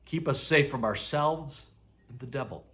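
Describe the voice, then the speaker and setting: A man's voice: medium pitch, moderate pace, a bit bright. A man reading scripture aloud for a church, with emphatic, direct delivery.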